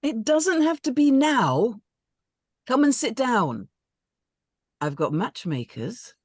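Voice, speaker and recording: Woman acting an animated, exasperated older mother. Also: fairly high pitch, takes it slowly, no room sound, studio quality, heavily acted. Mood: coaxing. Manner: scolding, emphatic. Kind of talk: script read-through.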